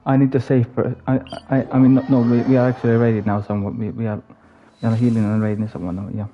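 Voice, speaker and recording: A man's voice: mid-range voice, little pitch movement, fast, muffled recording, faint music behind. A man from Finland doing casual live gameplay commentary, muttering to himself and repeating short phrases. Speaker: live game streamer.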